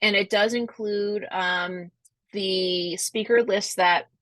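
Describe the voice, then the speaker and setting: A woman's voice: medium pitch, fairly even intonation, takes it slowly, fairly bright tone, no echo. A woman with a Rhode Island accent hosting a video-call webinar, answering questions in a chatty, reassuring way. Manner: friendly, chatty, reassuring, many fillers. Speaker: webinar host, conference organizer. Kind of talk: casual chat, answering questions.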